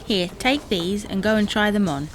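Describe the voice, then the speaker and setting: A woman's voice: mid-range voice, animated, a little hiss or hum, lightly acted. Bossy, exasperated wife, played by a woman. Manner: brisk, dismissive, commanding. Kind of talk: domestic comedy audio drama acting.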